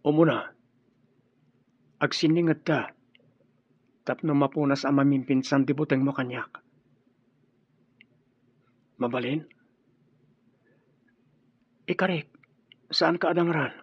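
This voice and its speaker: An earnest young man courting and pleading in a radio drama. His voice is breathy and lightly high, recorded dry and muffled. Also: fairly even intonation, a little slow, long pauses, no room sound. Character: earnest, deferential young male suitor pleading for peace.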